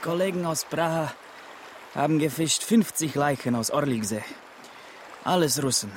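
An actor playing a laconic Czech village police chief nearing retirement, speaking halting, broken German with a Czech accent and searching for words. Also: male voice, on the high side, a little hiss or hum, close to an everyday voice. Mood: resigned, matter-of-fact. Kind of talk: naturalistic radio drama acting.

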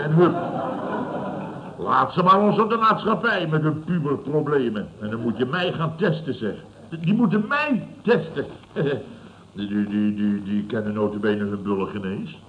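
A man plays a smug building boss in his fifties in an old radio comedy. His voice sits fairly high and he blusters, repeats himself and chuckles over his own tales. The recording is dull and clearly noisy. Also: close to an everyday voice. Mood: smug, amused. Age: 50s. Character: gruff, self-satisfied older male building boss telling tales. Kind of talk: 1970s Dutch radio comedy acting.

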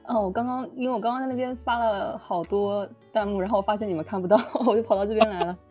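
A woman's voice: mid-range voice, fairly even intonation, quick pace, warm tone, soft background music. A woman author chatting casually as a guest on a livestream. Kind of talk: casual unscripted chat.

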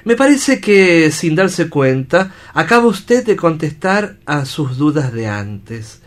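A man playing a grave, compassionate doctor in a radio drama, formal and deliberate. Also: on the high side, sing-song. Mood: earnest.

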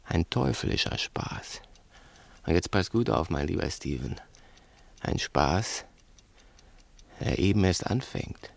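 An actor in a 1960s German radio play playing a cunning, domineering crook who is menacing, insistent and patronising. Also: male voice, deep voice, animated, takes it slowly, vocal fry, long pauses, heavily acted. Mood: sinister, sly. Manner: insistent, theatrical, probing with rhetorical questions.

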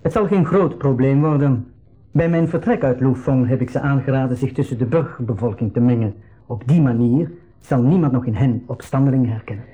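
A man playing a composed rebel leader in a radio drama, earnest, measured and deliberate. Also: medium pitch, warm tone, lightly acted. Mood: composed, resolute. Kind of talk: 1980s school radio drama acting.

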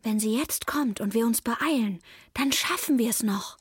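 A woman playing an earnest six-year-old daughter who narrates life with her mother in simple, measured words for a radio drama. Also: on the high side, slightly rough. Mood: earnest, wondering. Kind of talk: radio drama, first-person narration and dialogue.

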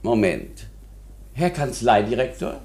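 Older male lawyer, ailing, pompous, formal, widely swinging pitch, radio drama. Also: wildly swooping pitch, takes it slowly, vocal fry, a little mellow, slight background noise, full character voice. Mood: self-important, formal. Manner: formal, long-winded, self-important. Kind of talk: German radio play acting.

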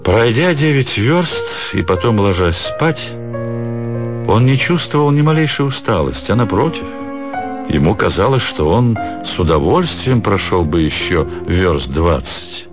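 A man's voice: lowish voice, sing-song, unhurried, over music. A man narrating a classic literary story as an actor in a radio production.

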